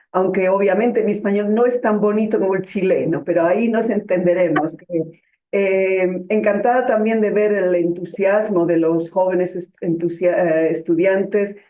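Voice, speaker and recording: Woman speaking in an echoey room. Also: medium pitch. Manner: warm, gracious, with hesitations. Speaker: guest speaker. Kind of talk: opening a lecture.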